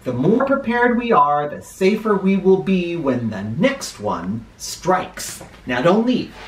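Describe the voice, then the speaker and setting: An American man in middle age reads to children with very animated, swooping intonation. He takes his time and leans into words for drama. The sound is roomy, picked up by a camera in a living room. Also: sits high. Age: middle-aged. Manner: expressive, dramatic, deliberate emphasis. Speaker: children's picture book reader and host. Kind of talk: read-aloud for children with spoken asides. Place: small living room, indoors.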